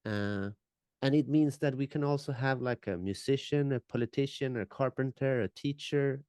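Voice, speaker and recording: A man's voice: mid-range voice, moderate pace, a little mellow, clear, resonant tone, dry recording, studio quality. A Finnish man, a native Finnish speaker, co-hosting a reflective conversation and searching for words with frequent restarts. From Finland. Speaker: podcast co-host.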